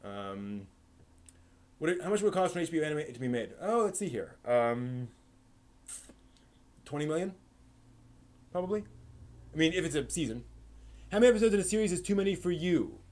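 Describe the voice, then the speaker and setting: A man hosting a casual live stream who thinks aloud and rambles, with many pauses, ums and restarts. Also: on the high side, animated, a little mellow. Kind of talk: casual live-stream chat answering chat questions.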